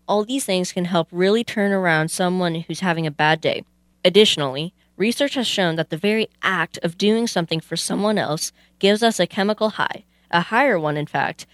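A woman's voice: on the low side, no room sound. A woman presenting on a youth radio show, reading scripted narration.

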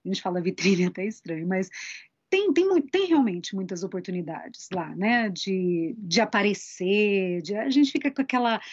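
A woman's voice: mid-range voice, expressive, normal speed, slightly rough, dry recording. A Brazilian woman from São Paulo state with a Brazilian Portuguese accent, chatting casually as a guest singer in an interview. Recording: dry, dull lo-fi sound.

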